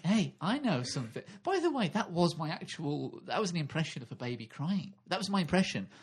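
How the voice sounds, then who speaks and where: A man's voice: fairly high pitch, sing-song, brisk, slightly rough. A man co-hosting a comedy podcast, telling silly improvised stories with lots of giggling. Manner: giggly, playful, silly storytelling. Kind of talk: absurd improvised comedy chat.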